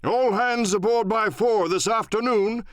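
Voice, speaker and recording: A man's voice: high-pitched, measured pace, no room sound, clean studio sound, voice pushed up. A man voicing a hearty, commanding country squire in an audiobook, speaking emphatically. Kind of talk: audiobook radio-play character acting.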